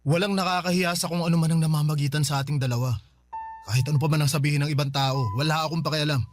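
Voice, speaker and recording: A man's voice: a little high, fairly even intonation, very fast, faint music behind, close to an everyday voice. A man playing an earnest, loyal, tender man in a Filipino radio drama.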